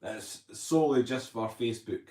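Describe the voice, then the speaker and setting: A man's voice: mid-range voice, normal speed, mellow. A man hosting a casual livestream, rambling chattily and reading viewer comments aloud. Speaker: livestream host. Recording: roomy echo.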